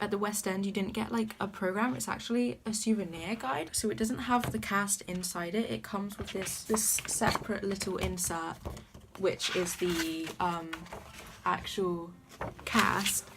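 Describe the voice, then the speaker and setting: A young woman chats to camera with a soft, light voice. She gushes like an eager fan, piling on praise. Faint background music plays under her. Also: medium pitch. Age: young adult. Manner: gushing, enthusiastic fan talk. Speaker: vlogger. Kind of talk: casual vlog chat to camera.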